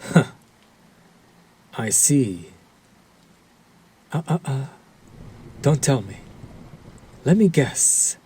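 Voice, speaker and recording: A male actor as a possessive vampire lord in an intimate roleplay drama. His voice is dark and breathy, and he draws out his words with slow, silky courtesy. Every phrase is theatrical, condescending and faintly predatory. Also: mid-range voice, very animated, heavily acted. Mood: taunting. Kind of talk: dramatic roleplay audio addressed to the listener.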